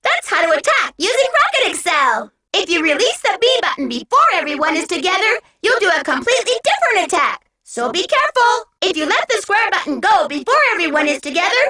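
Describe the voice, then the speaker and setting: A woman's voice: very high voice, sharp tone, full character voice. A woman voicing a small, cheerful, childlike robot helper who gives eager, encouraging tutorial instructions in a video game. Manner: peppy, encouraging, clearly instructive.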